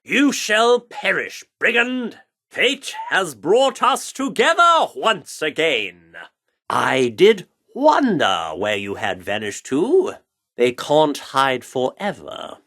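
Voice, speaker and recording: Grandiose theatrical thespian, video game character, mock-Shakespearean diction, drawn-out delivery, hugely animated intonation. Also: male voice, a little high, exaggerated voice. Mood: grave, wary. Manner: theatrical, declamatory, archaic diction. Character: pompous theatrical thespian man speaking in mock-Shakespearean bombast.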